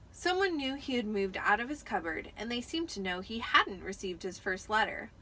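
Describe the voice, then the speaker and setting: A woman's voice: a little high, pushed and bright, slight background noise. A tipsy woman giving a dramatic amateur audiobook narration. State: tipsy from wine.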